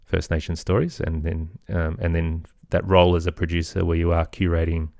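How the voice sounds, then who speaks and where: A man's voice: low voice, level tone, a little fast, vocal fry, darkish tone, no echo, clean studio sound. A middle-aged man talking casually as an interview guest, in a hesitant, self-effacing, rambling way. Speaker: podcast guest, audio storyteller and producer.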